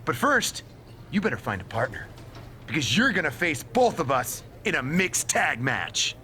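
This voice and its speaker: A man's voice: a little high, very animated, normal speed, bright, forceful tone, slight background noise. A man playing an indignant, blustering wrestler and husband in a game cutscene, theatrical and confrontational. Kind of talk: scripted video game cutscene acting.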